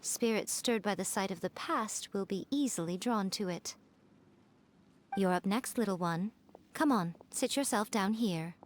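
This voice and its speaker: A woman voicing a sly, elegant fox spirit in a video game, teasing and coaxing in an unhurried, playfully condescending way. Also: medium pitch, a little hiss or hum, lightly acted. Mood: poised, instructive. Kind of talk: video game character dialogue.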